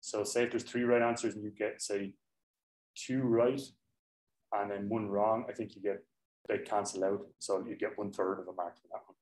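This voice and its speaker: A man's voice: fairly low pitch, little pitch movement, moderate pace, a little mellow. Man lecturing in an echoey hall. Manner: chatty, self-correcting, explains with examples. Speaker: university lecturer. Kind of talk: informal classroom lecture. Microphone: laptop mic on the desk.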